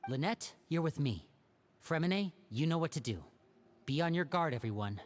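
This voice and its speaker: Man as a lively, poised young leader. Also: on the high side, moderate pace, lightly acted. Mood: resolute. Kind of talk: English video game voice acting.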